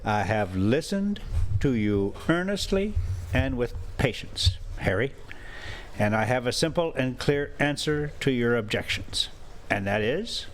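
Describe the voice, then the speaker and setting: A patient, pedantic professor read aloud by a man lectures from a storybook. He is slow and deliberate, with lively pitch. There is clear noise behind the voice. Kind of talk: amateur storybook reading.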